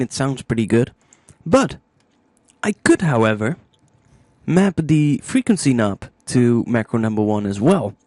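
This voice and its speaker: A man narrating a music software tutorial step by step, informally thinking aloud into a close microphone. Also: on the high side, no room sound.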